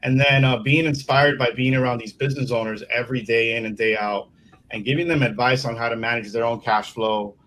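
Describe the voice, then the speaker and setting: A man's voice: medium pitch, steady tone, fairly quick, fairly bright tone, rough recording. A middle-aged man from Miami, a sales consultant, telling his career story as an interview guest with many uh's and restarts. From Miami, Florida. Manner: rambling, many fillers and restarts. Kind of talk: casual interview talk.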